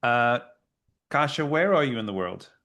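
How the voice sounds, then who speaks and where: A man hosting an interview podcast, talking in a halting, conversational way. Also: mid-range voice, animated, a bit bright. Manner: halting, with fillers and restarts. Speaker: podcast host. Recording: faint guitar music behind.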